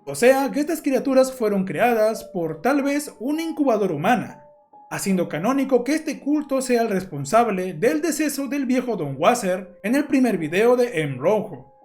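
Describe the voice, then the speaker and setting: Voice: mid-range voice, big pitch swings, moderate pace, a little mellow, soft background music. A narrator, presenting a scripted video essay in an explanatory storytelling way. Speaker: host and narrator of a horror analysis channel.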